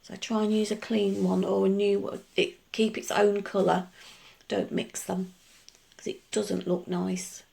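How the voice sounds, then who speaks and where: A middle-aged woman talking through a nail art tutorial in a chatty, rambling way, her voice gruff from just waking up. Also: mid-range voice, little pitch movement, measured pace, a little mellow. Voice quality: gruff, gravelly. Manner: chatty, rambling explanations with many asides. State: just woken up. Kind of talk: casual tutorial voice-over.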